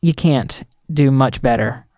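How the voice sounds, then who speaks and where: A man's voice: mid-range voice, unhurried, a little mellow, no room sound, clean studio sound. A man chatting casually as a podcast panelist, explaining things informally. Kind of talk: casual gear talk.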